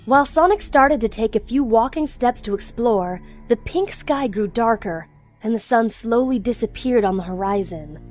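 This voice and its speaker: A woman's voice: fairly high pitch, animated, moderate pace, darkish tone, soft background music. A woman narrator reading a scripted story in an audio drama.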